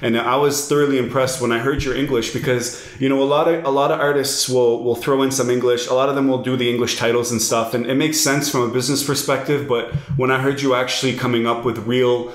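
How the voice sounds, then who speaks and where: A man's voice: medium pitch, little pitch movement, reverberant. A young man from Lebanon hosting an interview, eager and casual, calling his guest "bro". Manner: enthusiastic, uses "bro", quick bursts. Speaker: host.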